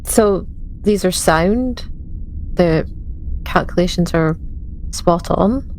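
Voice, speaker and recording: A woman's voice: on the low side, slow pace, a little mellow, slight background noise, rough recording. A woman improvising in-character lines in a tabletop game, speaking firmly and matter-of-factly.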